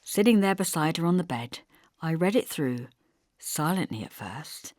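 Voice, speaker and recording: A woman narrates a literary audiobook in a fairly low voice. Her storytelling is measured and expressive, and the recording is close and dry, with no room sound. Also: no room sound. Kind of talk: scripted literary narration, first-person storytelling.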